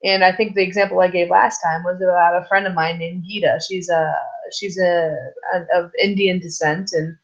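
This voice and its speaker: A woman teaching a recorded church lesson off the cuff, hoarse from a sore throat, with many fillers and restarts. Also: on the low side, level tone, moderate pace. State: sore throat, losing her voice. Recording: slightly dull, lo-fi recording.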